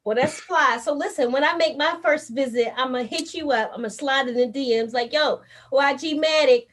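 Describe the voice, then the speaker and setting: A woman's voice: high voice, moderate pace. A woman from Baltimore hosting a casual interview show, upbeat and full of slang. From Baltimore, USA. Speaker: host.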